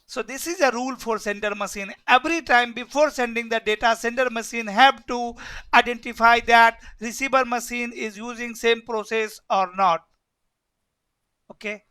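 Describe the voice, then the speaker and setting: Bright-voiced man teaching networking. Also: very high voice, fairly even intonation, moderate pace, clean tone. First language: Hindi. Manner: repetitive, keeps asking "yes or no?". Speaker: networking instructor. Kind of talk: live online class lecture.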